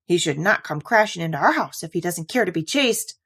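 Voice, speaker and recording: A woman reader acts out an excitable storybook dog. He is an eager, blunt boy of a pet who puts emphasis into what he says. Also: on the low side, a little fast, husky, full character voice. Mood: indignant.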